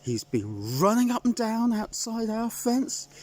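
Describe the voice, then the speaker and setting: A man's voice: extremely high pitch, very animated, unhurried, pitched above the performer's own voice, full character voice. A man voicing a panicky female chicken who stammers in a fluttery way. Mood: flustered. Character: flustered female chicken, sensible but panicky.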